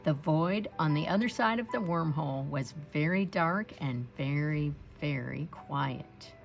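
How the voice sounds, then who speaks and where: Woman storybook narrator: very unhurried, lowish voice with a gravelly edge, expressive delivery for young children. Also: soft background music. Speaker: storybook read-aloud reader.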